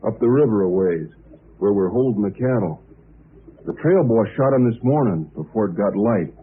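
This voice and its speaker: A man's voice: fairly low pitch, animated, moderate pace, husky. An American man playing an earnest young trail cowboy in an old radio western, speaking in a plain, serious way. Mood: grim insistent. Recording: muffled, noisy old radio transcription.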